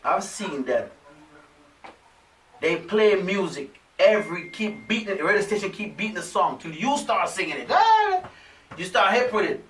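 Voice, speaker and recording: A man, a DJ, talks in a rambling, emphatic way with a slightly high voice. He sits away from the desk mic in a small studio. The room is echoey and a faint hiss runs underneath. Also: sing-song, normal speed, fairly bright tone, far from the mic. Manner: rambling, emphatic, repeats "you know what I mean". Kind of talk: casual studio chat. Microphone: desk microphone.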